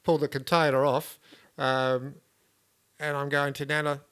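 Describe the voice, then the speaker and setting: A man hosting a vlog, chatting casually in a relaxed, rambling way with lots of fillers and restarts. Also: fairly high pitch, measured pace, clean tone. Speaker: vlog host. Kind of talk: casual chat.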